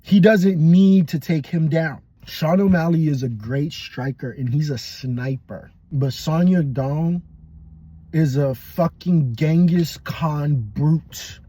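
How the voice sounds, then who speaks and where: A man's voice: on the high side, slow, dark tone, husky, no room sound. A young adult man casually giving blunt, profane, rambling fight predictions as a podcast host.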